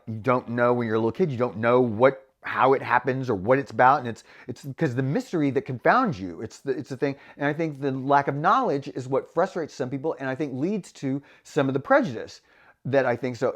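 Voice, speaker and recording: A man talks straight to camera in a candid, casual vlog. His pitch swings widely as he tells stories, and he speaks frankly and laughs often. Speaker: voice actor and vlogger.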